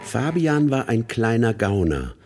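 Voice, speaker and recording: An actor playing a matter-of-fact narrator, telling a crook's story in clipped phrases for a radio play. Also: male voice, medium pitch, sing-song, unhurried, darkish tone. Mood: storytelling.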